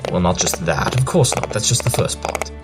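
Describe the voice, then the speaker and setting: A man playing a pompous, clever pony detective in a cartoon fan drama, brisk and sure of himself. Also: low voice, animated. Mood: self-important. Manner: pompous, brisk, self-assured. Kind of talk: animated fan drama acting. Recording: music under the voice.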